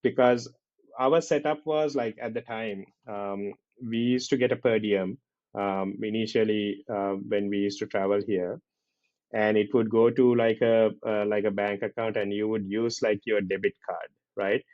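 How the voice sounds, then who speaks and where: A man with a Sri Lankan accent talking casually as a podcast host, halting and repeating himself, often checking in with a tag question. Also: lowish voice, fairly even intonation, dry recording. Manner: halting, repetitive, often tags questions with "right". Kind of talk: casual conversational podcast talk. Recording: dull lo-fi audio.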